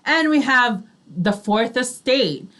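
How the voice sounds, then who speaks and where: Casting: woman, higher-pitched, online radio talk host with an informal, conversational delivery. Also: animated, slow pace, fairly bright tone. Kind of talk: casual radio chat and show announcements.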